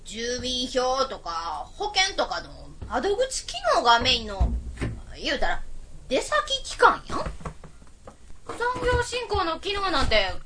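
A woman's voice: high voice, huge pitch swings, takes it slowly, pushed and bright, full character voice. A woman playing a brash, nosy but good-natured auntie with an Osaka accent in an amateur radio drama, talking bluntly and familiarly. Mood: inquisitive.

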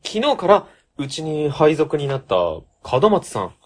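A man playing an earnest, polite young rookie civil servant in a comedic radio drama, quick to react. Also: a little high, huge pitch swings, slight background noise. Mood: startled. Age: young adult.

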